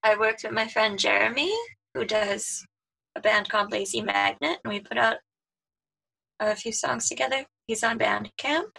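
A woman's voice: fairly even intonation, moderate pace, gravelly, bright, forceful tone, poor audio. A woman who sings soprano, chatting casually as a guest, laughing often and trailing off mid-sentence. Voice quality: high soprano voice. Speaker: guest, pop singer. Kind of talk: casual chat.

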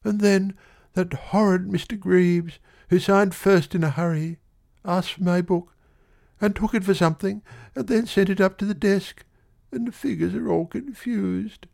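A man's voice: high voice, fairly even intonation, measured pace, creaky, a little mellow, voice pushed up. A man voicing a tearful frightened young shop girl. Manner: pleading, sobbing, breathless rush. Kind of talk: audiobook reading.

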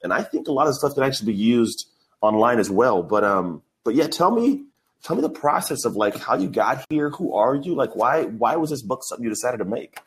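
A man's voice: mid-range voice, sing-song, a little fast. A young adult man from the Bay Area hosting a podcast, enthusiastic and slangy with lots of fillers. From Bay Area, USA. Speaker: podcast host. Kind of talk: casual interview chat.

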